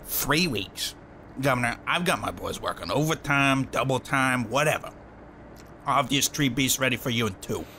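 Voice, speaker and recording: A man's voice: medium pitch, normal speed, bright, forceful tone, slight background noise. A man in an audio drama playing a cocky, eccentric starship engineer, chatty, slangy and rambling. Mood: boastful.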